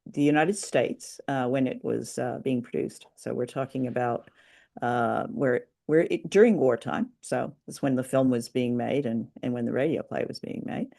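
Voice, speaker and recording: A woman co-hosting an unscripted discussion, explaining things informally in a tentative way with frequent pauses. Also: extremely low pitch, creaky, mellow. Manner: tentative, pausing explanatory delivery. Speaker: podcast co-host. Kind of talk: unscripted discussion of old-time radio drama.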